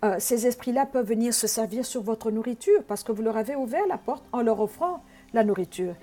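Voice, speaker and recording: Earnest woman preacher, mellow voice. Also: on the high side, brisk. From Congo. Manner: earnest teaching tone, frequent hesitations. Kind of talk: sermon.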